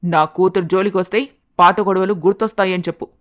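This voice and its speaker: A woman's voice: mid-range voice, steady tone, a little fast, voice pushed down, close to an everyday voice. Woman voicing a haughty, angry middle-aged mother. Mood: furious, commanding. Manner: scornful, commanding.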